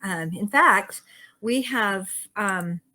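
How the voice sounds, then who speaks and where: An upbeat woman with a mid-range voice co-hosts a quilt shop video in a relaxed, chatty way. She often chimes in with quick, agreeable reactions. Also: medium pitch, a little hiss or hum. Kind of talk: casual show-and-tell chat.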